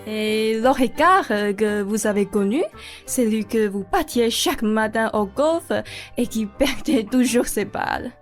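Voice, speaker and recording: High-voiced, effusive rich society lady acted by a woman. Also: measured pace, faint music behind, natural voice. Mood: chatty, gracious. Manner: theatrical sighs, grand and gracious phrasing. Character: rich, effusive aristocratic lady, bored and scheming. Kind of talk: student radio play acting.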